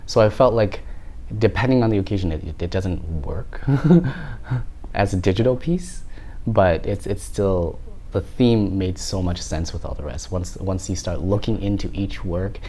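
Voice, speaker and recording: A man's voice: low-pitched, measured pace, mellow. A man in his thirties from Canada, an artist talking through his work in an interview, thoughtful and often restarting his words. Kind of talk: reflective interview talk.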